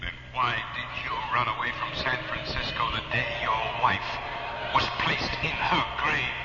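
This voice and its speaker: A man's voice: mid-range voice, fairly even intonation, very noisy, lightly acted. An actor playing a man's ghostly double who accuses him, in a 1970s radio drama. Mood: looming. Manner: slow, looming, accusing. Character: man's ghostly double, his own accusing inner self. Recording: dull echoey 1970s radio broadcast with music.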